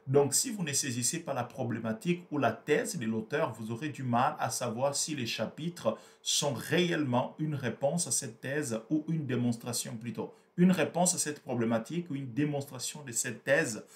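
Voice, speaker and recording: A man's voice: medium pitch, normal speed, few pauses. A man whose first language is Portuguese, explaining a book in a hesitant, repetitive way with many fillers. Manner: hesitant, repeats words, frequent fillers. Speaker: book reviewer. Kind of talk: explanatory book review talk.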